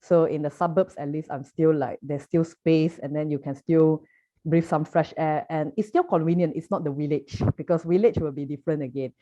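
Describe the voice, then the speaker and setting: A woman's voice: sits low, normal speed, mellow. A young woman from Malaysia with a Malaysian accent, chatting as an interview guest with many fillers and restarts. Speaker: poet and artist guest. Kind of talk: casual interview answers. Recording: muffled lo-fi audio.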